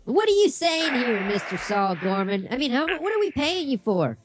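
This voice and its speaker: Animated woman co-hosting a comedy podcast. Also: high-pitched, normal speed, background noise. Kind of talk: improvised comic banter.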